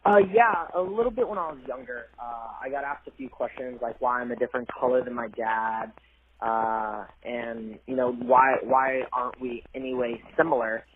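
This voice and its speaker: A man's voice: medium pitch, moderate pace. A young man from Cambodia, a college athlete, talking earnestly and a bit hesitantly in a phone interview. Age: young adult. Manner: soft-spoken, earnest, hesitant with fillers. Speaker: guest athlete. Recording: tinny phone line with faint hiss.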